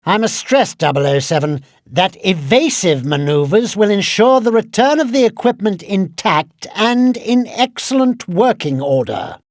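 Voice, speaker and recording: An older British quartermaster in a video game, high in pitch and swooping in intonation. He is pompous and exasperated, nagging in clipped, sardonic phrases. The sound is close and dry. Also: very animated, a little slow, hardly any pauses, dry recording. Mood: haughty briefing. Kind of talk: scripted video game mission briefing.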